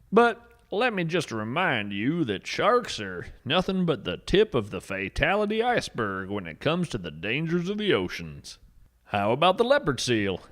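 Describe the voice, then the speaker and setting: A man's voice: medium pitch, a bit bright. A man playing a folksy, wonder-struck eccentric naturalist, narrating a scripted podcast with dramatic, tongue-in-cheek grandeur. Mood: folksy enthusiasm. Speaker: podcast host. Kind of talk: theatrical scripted storytelling narration.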